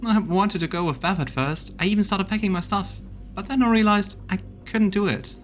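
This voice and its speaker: A man's voice: high voice, a little fast, soft background music, lightly acted. A man voicing a loyal, weary female army officer in a video game, talking in an earnest, folksy way. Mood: candid, confiding. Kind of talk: voiced video game dialogue.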